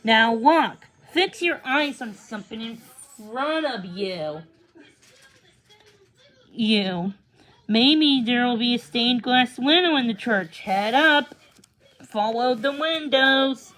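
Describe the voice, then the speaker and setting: A young schoolgirl from a children's storybook, acted out by a woman reader, with a high voice. She is firm and bossy, coaching her friend with encouragement. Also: animated, takes it slowly, a bit bright, low-quality recording. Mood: bossy, encouraging. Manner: firm, instructive.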